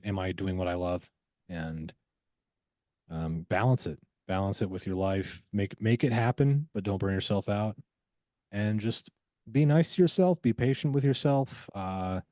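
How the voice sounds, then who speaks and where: A man's voice: low-pitched, dark tone, no echo. A man speaking casually as a podcast guest, in an easygoing, informal and encouraging way. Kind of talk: casual interview chat.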